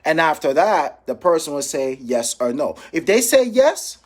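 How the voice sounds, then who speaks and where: A man's voice: on the high side, sing-song, moderate pace. A man from Jamaica giving an informal motivational training talk as a sales coach, emphatic, repeating key phrases and checking in with his listeners. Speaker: financial professional coaching sales calls. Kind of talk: informal motivational training talk with role-played phone examples.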